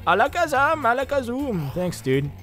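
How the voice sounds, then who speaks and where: A young man giving casual, excitable let's-play commentary over his gameplay, chatting with jokey asides. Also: very high-pitched, moderate pace, faint music behind. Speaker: gaming YouTuber.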